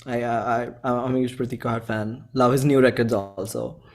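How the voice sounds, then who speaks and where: A man's voice: medium pitch, fairly even intonation, moderate pace. A man in his twenties from India, with an Indian accent, chatting casually as a musician guest in an enthusiastic, effusive, slangy way. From Bhopal, India. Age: 20s. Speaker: indie musician, interview guest. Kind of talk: casual chat.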